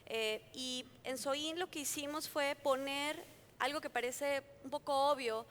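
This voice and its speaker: A woman speaking with a blended Colombian and Mexican accent. Her voice sits a little high, and she talks earnestly as a panelist. A faint rumble hums under the recording. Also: a little slow, fairly bright tone. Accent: Colombian Spanish mixed with Mexican. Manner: earnest, fluent, repeats words when restarting. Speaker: panelist, company founder. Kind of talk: panel talk at a forum. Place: conference hall.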